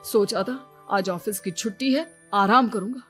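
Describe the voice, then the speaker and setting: A woman's voice: medium pitch, a little slow, fairly bright tone, soft background music, lightly acted. A woman voicing a harried young husband in a cartoon, an exasperated and pleading peacemaker. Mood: weary. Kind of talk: cartoon dialogue.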